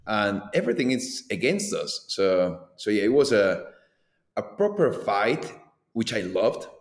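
Colombian man with a low, roomy voice. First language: Spanish. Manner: animated, laughs often, loose filler-heavy delivery. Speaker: former pro downhill mountain bike racer, guest. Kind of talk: casual conversational interview. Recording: roomy echo.

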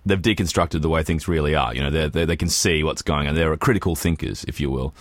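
A man's voice: rumbling low, quick pace, dry recording. A man co-hosting a podcast in casual, unscripted chat, explaining things and giving his opinions. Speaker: podcast co-host.

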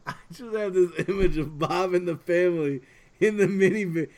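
A man's voice: high-pitched, moderate pace, a little mellow. A man chatting casually as a podcast co-host, telling jokey, rambling stories. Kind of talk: casual chat.

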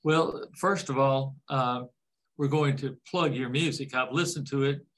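A man's voice: mid-range voice, fairly even intonation, measured pace, fairly bright tone. An older man hosting a casual interview, folksy and rambling, with easygoing jokes. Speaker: interview host.

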